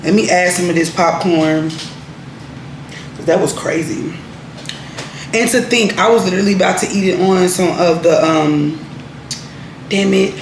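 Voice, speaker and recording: A woman's voice: on the low side, unhurried, pauses often, slight background noise. Chatty woman in an echoey room. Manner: chatty, laid-back, laughs easily. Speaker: vlogger. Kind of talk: casual vlog chat.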